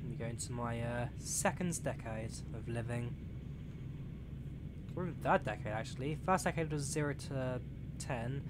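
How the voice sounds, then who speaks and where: A man's voice: mid-range voice, moderate pace. Chatty young man over train sim noise. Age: young adult, turning 20. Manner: rambling and chatty, reads chat aloud with many fillers. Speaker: streamer. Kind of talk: casual live-stream chat while playing a train simulator. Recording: game train noise clearly behind the voice. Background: train simulator engine and rail sounds.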